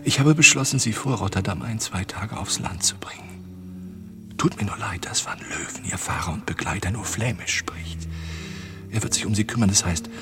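An actor playing a suave, shrewd underworld contact in a German radio play, speaking formally in a hushed voice. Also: male voice, low voice, moderate pace, whispered, over music, lightly acted. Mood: sly, guarded.

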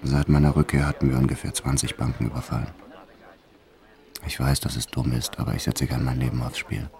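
A very deep, gravelly hardened gangster. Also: male voice, flat delivery, fairly quick, darkish tone. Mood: matter-of-fact, hard. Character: hardened male French bank robber, reckless and cold. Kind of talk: first-person radio drama narration.

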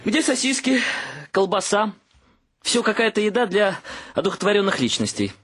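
A man's voice: high-pitched, very animated, moderate pace, a bit bright, slight background noise. An actor playing a sarcastic young son who grumbles and mocks his dreamy parents in a radio play. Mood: sarcastic, indignant. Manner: sardonic, exclamatory.

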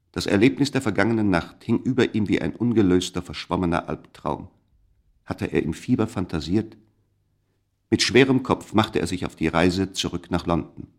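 Serious storyteller for a radio play, really low voice, measured scripted narration. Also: male voice. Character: grave, measured male storyteller of a mystery tale.

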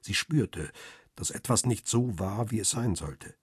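An actor playing a middle-aged photographer and devoted husband, narrating a radio crime drama in a measured, reflective, literary way. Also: male voice, on the low side, animated, husky, dry recording, clean studio sound, exaggerated voice. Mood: reflective, tender.

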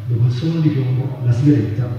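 A man's voice: mid-range voice, fast, dark tone. A man giving a literary reading aloud at a public event. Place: large echoey venue at a public festival. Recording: dull, distant, very echoey, background noise.

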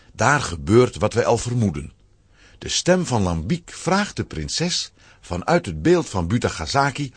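A man with a Flemish accent and a husky voice, narrating a children's audio drama in an expressive storytelling style. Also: lowish voice, no room sound.

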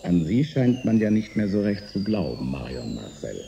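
A middle-aged inventor, embittered and resigned, in a science fiction radio drama. His low, very mellow voice moves through a wide range as he needles with deliberate, sardonic wit, over faint noise. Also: big pitch swings, vocal fry, lo-fi sound, heavily acted. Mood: dry, sardonic.

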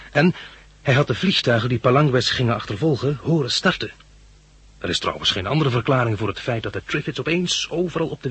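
Earnest, husky, bright-voiced male narrator. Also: on the low side, no echo. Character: earnest male narrator recalling his studious youth. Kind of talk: 1960s Dutch radio drama narration and dialogue.

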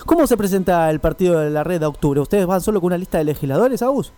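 A male radio co-host with a Buenos Aires Argentine accent. His voice sits high for a man as he handles an informal interview. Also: animated, fast talker, mellow. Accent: Buenos Aires Argentine Spanish.

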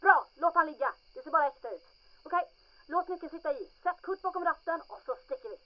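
An actress playing a bossy, brisk leader of a covert raid in an audio drama, commanding and impatient. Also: female voice, high-pitched, dull audio, natural voice.

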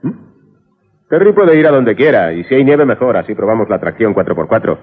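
A man's voice: lowish voice, big pitch swings, fairly quick, breathy edge. An actor playing an enthusiastic, jovial family father with a Castilian accent in a Spanish radio drama. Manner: exuberant, affectionate teasing. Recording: phone-like, faintly noisy 1980s radio recording.

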